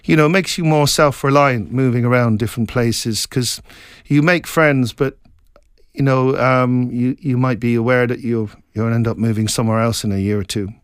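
Middle-aged male author from Scotland, mid-pitched, reflective and rambling interviewee. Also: fairly even intonation, clear, resonant tone, no echo, studio quality. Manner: reflective, meandering, halting with many restarts. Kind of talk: casual interview chat.

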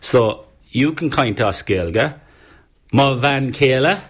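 A man's voice: mid-range voice, lively intonation, takes it slowly, fairly bright tone, husky. A man, presenting and chatting casually and jokingly with a family member and a guest. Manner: chatty, joking, hesitant restarts. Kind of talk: casual family conversation and interview.

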